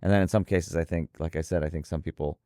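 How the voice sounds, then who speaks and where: Very deep-voiced man, casual interviewer. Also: steady tone, fast talker, mellow, no room sound, clean studio sound. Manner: thinks aloud with restarts and hedges.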